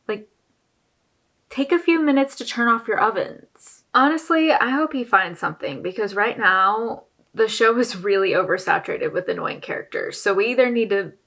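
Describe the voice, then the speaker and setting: A woman's voice: on the high side, a bit bright. A woman hosting a reaction video, giving casual, opinionated commentary on a TV show in a conversational way.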